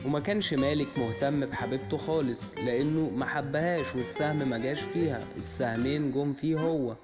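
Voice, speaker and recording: A man with an Egyptian Arabic accent retelling a cartoon story for children, in a plain, steady way that chains one event to the next. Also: mid-range voice, fairly quick. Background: music.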